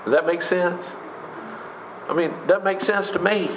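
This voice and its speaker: A man with a big booming voice preaching a sermon in an emphatic, conversational way, with rhetorical questions. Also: moderate pace, background noise. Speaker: pastor. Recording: echoey room with faint ambience.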